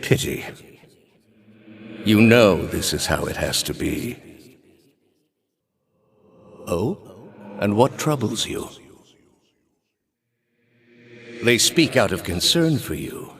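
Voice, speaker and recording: Low voiced older zealot priest from a video game, calm, grave, formal, preachy and in command. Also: male voice, unhurried, gravelly, pauses often, slight background noise. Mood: gentle, probing. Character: solemn, pious male high priest, calm and commanding zealot. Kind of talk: dramatic video game character dialogue.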